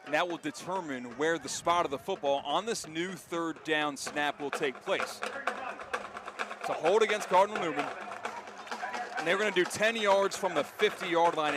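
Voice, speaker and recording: A man with an American accent and a fairly high voice calls football play-by-play in a descriptive, animated way. It is a live outdoor stadium broadcast with loud noise all around him. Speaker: play-by-play announcer.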